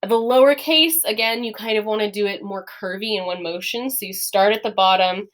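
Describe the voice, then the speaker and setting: A woman's voice: medium pitch, brisk, fairly bright tone. Patient woman teacher explaining step by step. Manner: patient, step-by-step, laughs often. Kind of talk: classroom lesson explaining letters.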